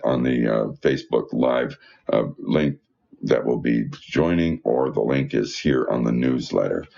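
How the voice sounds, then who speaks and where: A man's voice: a bass voice, darkish tone, muffled recording. A man reading church newsletter announcements aloud in a folksy, conversational way with informal asides.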